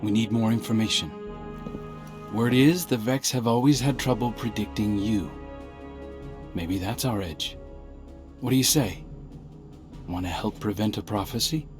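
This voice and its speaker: Guy voicing earnest wry young scout in a sci-fi game, lowish voice, urgent n conversational, music going behind him. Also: a little slow, pauses often, natural voice. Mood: grave, urgent. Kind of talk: video game voice acting. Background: game soundtrack music.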